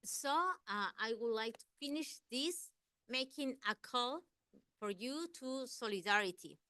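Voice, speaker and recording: A woman's voice: fairly high pitch, bright, forceful tone, smooth, dry recording. A woman from Ecuador speaking English with an Ecuadorian Spanish accent, giving a seminar talk and answering questions earnestly, searching for words. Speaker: guest speaker, environmental campaigner.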